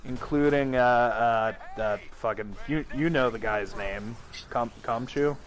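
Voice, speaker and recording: A man's voice: medium pitch, a little slow. A man hosting a casual nerdy podcast chat, rambling, swearing and laughing often. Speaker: streamer and podcast host.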